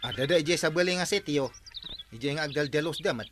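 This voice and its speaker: A man's voice: on the high side, a little fast, loud music behind the voice, close to an everyday voice. An actor playing an earnest village man leading a clean-up crew in an Ilocano radio comedy, emphatic, with an Ilocano accent. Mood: urging.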